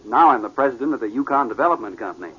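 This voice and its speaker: An actor playing a naive, eager young businessman, boyish, halting and flustered, in a vintage radio drama. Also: medium pitch, lively intonation, pushed and bright, slightly rough. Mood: bashful, modest. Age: young adult. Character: naive, bashful, eager young company president. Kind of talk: 1940s radio drama acting. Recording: muffled, noisy vintage radio recording.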